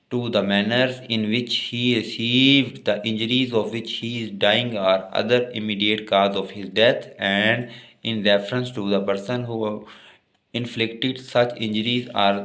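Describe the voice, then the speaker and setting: A man's voice: medium pitch, a bit bright. A man with a Pakistani accent lecturing as a lawyer, reading passages out and then explaining them step by step. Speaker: lawyer giving law lectures. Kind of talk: lecture, explaining exam topics in Urdu and English.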